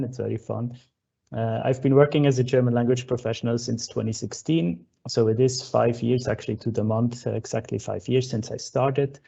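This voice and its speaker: A man's voice: medium pitch, vocal fry, dark tone. A young Swiss man giving a friendly conference keynote over a video call, with plenty of uh fillers. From Switzerland. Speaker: keynote speaker. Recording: dull lo-fi online call audio.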